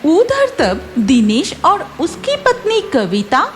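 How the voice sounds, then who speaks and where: A woman's voice: sits high, huge pitch swings, slow, faint music behind. A woman reading scripted cartoon story narration as the storyteller.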